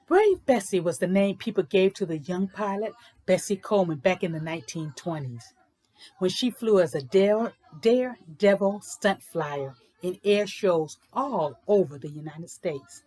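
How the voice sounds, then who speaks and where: A woman presenting and reading children's books aloud in an informal, explanatory way with deliberate, emphatic phrasing. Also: medium pitch, expressive, dark tone, slightly rough, poor audio. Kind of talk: informal book talk and storybook read-aloud.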